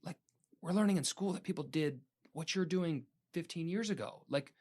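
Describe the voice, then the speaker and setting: A man's voice: fairly high pitch, moderate pace, a little mellow. A man hosting a podcast, talking in an earnest, reflective way with some "um" and "like". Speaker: podcast host. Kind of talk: casual podcast conversation.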